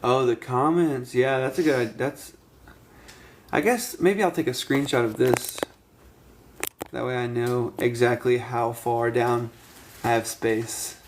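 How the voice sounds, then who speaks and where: Young man, stream host, casual chat, mid-pitched voice, cuts in to press his question, faint background noise. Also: steady tone, slow pace. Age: 20s. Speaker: livestream host. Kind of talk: casual live chat and debate.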